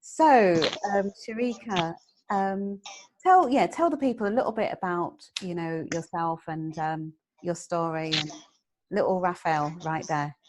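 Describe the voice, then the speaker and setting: A woman's voice: fairly low pitch, measured pace. Upbeat woman host, noisy recording. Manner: upbeat, encouraging backchannels. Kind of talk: casual interview talk.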